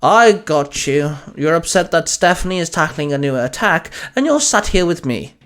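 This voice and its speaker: A man's voice: on the high side, expressive, normal speed, fairly bright tone, clean tone. A man voicing a chirpy, knowing shopkeeper who mentors a younger man in an audio story. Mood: teasing.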